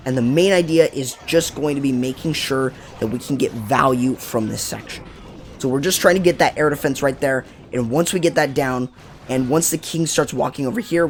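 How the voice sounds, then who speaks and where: A man with a raspy voice hosting a casual game strategy guide, explaining attacks step by step and correcting himself as he goes. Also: medium pitch, slight background noise. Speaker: gaming channel host.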